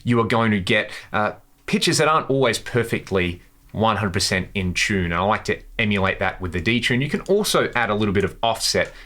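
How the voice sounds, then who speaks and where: A man's voice: on the low side, lively intonation, brisk, bright, forceful tone. A man hosting a casual guitar gear tutorial, talking through settings with enthusiasm. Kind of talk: casual instructional demo to camera.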